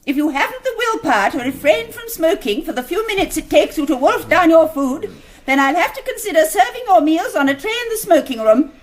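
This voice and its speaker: An actress with a British accent playing a prim, sharp elderly landlady in a radio drama, speaking in a crisp, formal, cutting way. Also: very high voice, very animated, brisk. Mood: scolding. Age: older.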